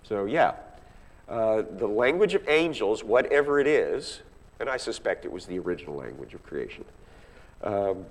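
A man's voice: on the low side, sing-song, moderate pace. A man teaching from the Bible in an emphatic, conversational way, often putting rhetorical questions to his listeners. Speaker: Bible class teacher or preacher. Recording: echoey hall with long reverb.

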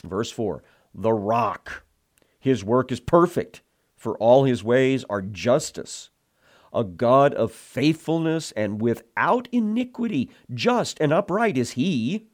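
A man teaching through a Bible passage verse by verse, reading scripture aloud and explaining it with frequent "uh" fillers. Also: lively intonation. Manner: slow, deliberate, rises in pitch reading scripture aloud.

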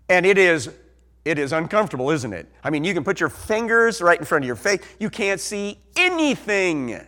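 Animated Ozark preacher. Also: male voice, high-pitched. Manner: animated, swings from soft to loud emphasis. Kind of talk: sermon.

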